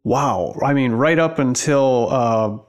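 A man's voice: medium pitch, takes it slowly. A man in his forties hosts a podcast, asking curious questions and giving short reactions as his guest talks. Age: 40s. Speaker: podcast host. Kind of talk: podcast interview.

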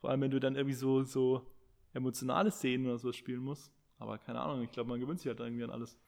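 Man co-host, mid pitch, rambly and filler-heavy, talking into a podcast mic. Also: flat delivery, racing, creaky, a little mellow. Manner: rambling, many fillers and restarts. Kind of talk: casual chat. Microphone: podcast microphone.

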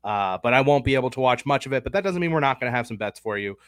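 A man with a head cold co-hosting a casual sports betting podcast, talking through picks in a measured, analytical way. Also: medium pitch, expressive, clean tone, dry recording, studio quality. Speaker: podcast co-host.

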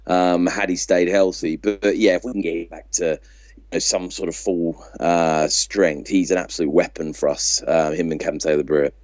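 A man's voice: deep voice, steady tone, moderate pace, a little mellow, no room sound. A man co-hosting a sports podcast, casually giving his opinions, on slightly dull lo-fi audio. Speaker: podcast co-host.

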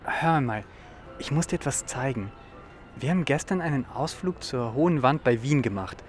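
A man acts an eager young male fossil fan in a youth radio play. He is excitable and loves to explain, delivering facts with theatrical enthusiasm. Music plays softly behind him. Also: mid-range voice, normal speed, close to an everyday voice. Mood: excited. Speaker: actor in an educational audio drama.

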